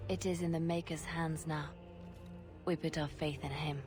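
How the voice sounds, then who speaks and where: An actress playing a calm, devout female agent of the faith in a fantasy game, speaking solemnly. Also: sits low, normal speed, over music, lightly acted. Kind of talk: dramatic fantasy game acting.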